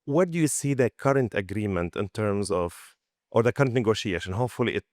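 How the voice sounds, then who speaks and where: A man's voice: on the low side, lively intonation, a little fast, darkish tone, no room sound, clean studio sound. A man from Syria asking the panel a question from the audience, polite and emphatic.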